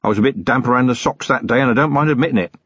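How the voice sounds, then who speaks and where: A man voicing a seasoned, gruff police commander in an audiobook, dry, matter-of-fact and fatherly. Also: medium pitch, rapid-fire, fairly bright tone, no room sound, highs cut off, pitched above the performer's own voice. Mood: reassuring.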